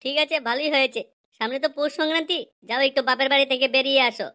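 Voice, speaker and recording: A woman voicing a concerned young male village friend in an amateur comedy cartoon, familiar and inquisitive, with artificially raised pitch. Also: rapid-fire, sharp tone. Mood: curious, concerned. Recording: music under the voice. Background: music.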